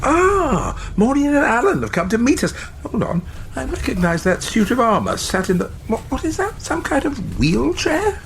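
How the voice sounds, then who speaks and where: A man's voice: very high voice, wildly swooping pitch, moderate pace, vocal fry, a bit bright, a little hiss or hum, exaggerated voice. An actor playing an eccentric older upper-class Englishman in a British radio sitcom, boyishly oblivious, pompous and old-fashioned in his phrasing. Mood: blustering.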